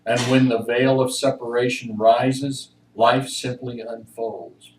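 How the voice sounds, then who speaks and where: Male reader, mid-pitched, measured and emphatic, church service; roomy sound with faint noise. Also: fairly even intonation, distant mic. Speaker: fellowship member reading at a church service. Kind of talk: introduction and read-aloud reflection. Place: church room, recorded from a distance.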